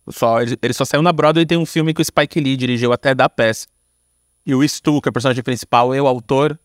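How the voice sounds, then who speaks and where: A man's voice: a little high, dry recording, studio quality. A man in his thirties or early forties with a Brazilian accent, talking informally as an interview guest, explaining and telling stories. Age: 30s to early 40s. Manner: informal, explanatory, frequent tag words. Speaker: podcast guest, screenwriter. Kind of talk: casual conversational storytelling.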